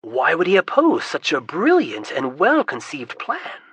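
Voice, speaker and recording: A reader voicing a composed, courteous wizard adventurer who speaks smoothly and persuasively. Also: mid-range voice, huge pitch swings, unhurried, fairly bright tone, dry recording, exaggerated voice. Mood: flattering. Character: calm, smooth-talking adult wizard adventurer.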